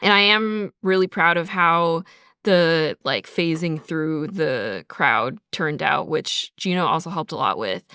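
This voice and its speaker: Clear-voiced woman chatting casually, lowish pitch. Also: a little slow, pushed and bright, no room sound, clean studio sound. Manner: informal, peppers talk with "like". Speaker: podcast co-host, audio drama writer and sound designer. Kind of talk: casual behind-the-scenes podcast chat.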